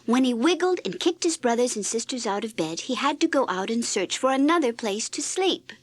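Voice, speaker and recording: A woman reading a scripted children's read-along story in an expressive, deliberate storytelling manner. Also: fairly high pitch, a little hiss or hum. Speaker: storybook narrator.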